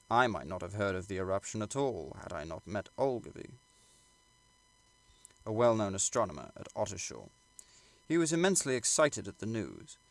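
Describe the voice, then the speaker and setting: Creaky, low-voiced man reading a classic novel. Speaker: audiobook reader. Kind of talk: scripted literary narration.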